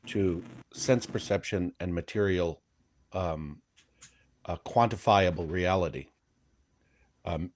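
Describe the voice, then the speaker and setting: A man from Michigan with a Midwestern accent, talking in a soft, easy voice and pausing often with ums. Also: sits low, no echo. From Flint, Michigan. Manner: slow, hesitant, many ums. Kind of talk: casual discussion.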